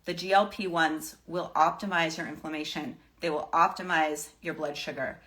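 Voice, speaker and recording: Lower-pitched woman, casual wellness advice. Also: fairly even intonation, moderate pace, sharp tone. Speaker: live stream host giving wellness advice. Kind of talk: casual off-the-cuff advice to followers.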